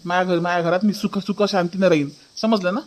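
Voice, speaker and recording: Casting: high-voiced young village husband acted by a man, Varhadi Marathi accent, irate and scolding, for a cartoon comedy. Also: steady tone, brisk, lightly acted. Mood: angry, indignant. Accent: Varhadi Marathi from Maharashtra. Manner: scolding, repeats his questions. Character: angry young husband defending his mother and home. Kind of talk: hobby cartoon comedy dialogue.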